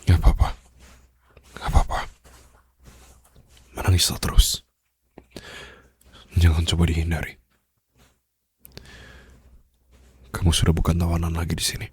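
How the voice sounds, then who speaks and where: A man playing a brooding, protective mafia boss in an intimate ASMR roleplay, speaking slowly and softly in a husky, breathy voice that drifts into whispers. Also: sits low, completely flat delivery, no echo. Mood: tender, protective. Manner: slow, hushed, formal and soothing, drifting into whispers. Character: brooding young male mafia boss, commanding yet tenderly protective. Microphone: close studio microphone, dry.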